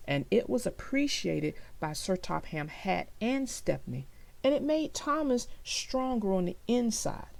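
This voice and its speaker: A woman narrating a children's story aloud, expressively and deliberately, as if to young listeners. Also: mid-range voice, gravelly, a little mellow.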